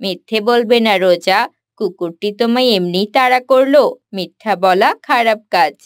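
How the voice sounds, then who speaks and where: A woman's voice: medium pitch, a little slow, dry recording, clean studio sound, pitched below the performer's own voice, close to an everyday voice. A woman voicing a stern, protective older brother who speaks firmly in a children's moral cartoon. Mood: scolding. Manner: firm, admonishing.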